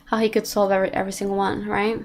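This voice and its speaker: A woman's voice: medium pitch, little pitch movement, rapid-fire. A young woman with a British accent and a slight Australian twang, rambling through casual stream chat with many restarts while she paints.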